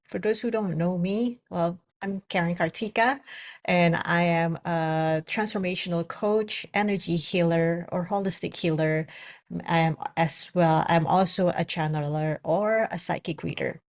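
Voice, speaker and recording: A woman with an Indonesian accent, a spiritual coach and psychic reader, talking casually to her viewers with many pauses and fillers. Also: on the low side, fairly even intonation. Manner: hesitant, many fillers and restarts. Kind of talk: casual spoken video message.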